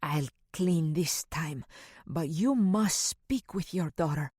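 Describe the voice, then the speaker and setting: A woman's voice: low voice, takes it slowly, a little mellow, husky, no echo, studio quality, exaggerated voice. A woman voicing a stern, disapproving middle-aged housekeeper who scolds in stiff, formal phrasing. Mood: scolding.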